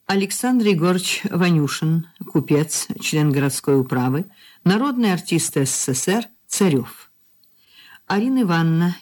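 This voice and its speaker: A woman radio announcer reading credits in a measured, formal, scripted style. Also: sits low, a little mellow.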